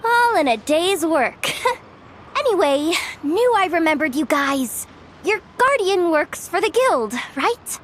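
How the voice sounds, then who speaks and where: A cocky young woman guard from a video game, speaks in a very high voice that swings up and down. She takes her time over brash, teasing lines and puts on a theatrical tough act. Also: big pitch swings, slow, slight background noise, exaggerated voice. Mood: boastful, relieved.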